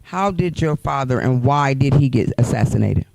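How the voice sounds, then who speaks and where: Direct interviewer asking pointed questions. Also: male voice, medium pitch, expressive, moderate pace, gravelly, a little mellow, dry recording. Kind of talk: live-show interview.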